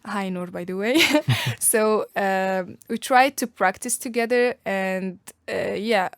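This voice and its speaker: A woman's voice: medium pitch, fairly even intonation, takes it slowly, clean tone, no room sound, clean studio sound. A young Algerian woman whose first language is Arabic, answering interview questions in a casual, thoughtful way, with many fillers and restarts. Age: young adult. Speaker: guest.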